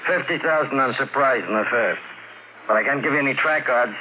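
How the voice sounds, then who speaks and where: An actor playing a tough, shrewd underworld bookie in an old-time radio drama, wry and slangy. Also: male voice, mid-range voice, fairly quick, bright, forceful tone. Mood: confident. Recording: muffled old radio recording, faint noise.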